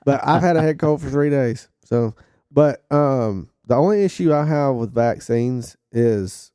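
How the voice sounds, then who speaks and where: A man's voice: mid-range voice, expressive, a little slow, mellow, clear, resonant tone, dry recording, clean studio sound. A man co-hosting a podcast, chatting in an easygoing way with hesitant ums. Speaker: podcast co-host. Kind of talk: casual chat.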